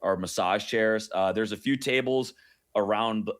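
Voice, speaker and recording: A man's voice: lowish voice, unhurried, fairly bright tone. A man, a hockey announcer, giving casual commentary as a radio guest. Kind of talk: casual sports interview commentary.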